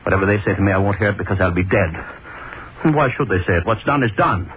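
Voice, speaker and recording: A man playing a deep-voiced gloating hangman. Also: expressive, fast, lightly acted. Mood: anxious. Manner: gloating, brooding, lingers on words. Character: obsessive middle-aged male hangman, gloating and cruel. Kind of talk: 1930s radio drama acting, first-person monologue. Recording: scratchy tinny 1930s radio broadcast.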